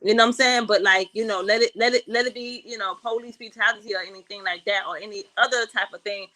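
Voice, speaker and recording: A woman with a bright, sharp voice speaks as a guest in a casual discussion. She talks in an animated way and keeps checking in with the people listening. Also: mid-range voice, fast. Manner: animated, keeps saying "you know what I'm saying".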